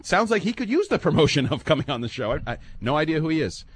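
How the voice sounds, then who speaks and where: A man hosting a sports radio show, talking casually and with animation, dropping low and quiet when he muses. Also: very animated, quick pace, breathy edge.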